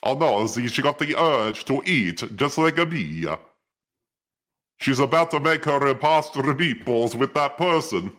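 A man's voice: medium pitch, expressive, measured pace, a bit bright. A man doing a hammy mock-goof with a bouncy voice. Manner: hammy, sing-song comic voice. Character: goofy mock-Italian man, cheerful and silly. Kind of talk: joking movie commentary.

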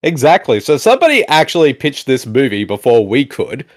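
A man hosts a laid-back movie commentary podcast, his voice rising and falling a lot as he talks. He sounds animated and chatty, and he keeps coming back to short replies like "exactly" and "indeed". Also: mid-range voice, brisk. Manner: animated, laughs often, repeats "exactly" and "indeed". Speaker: podcast host. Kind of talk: casual movie commentary chat.

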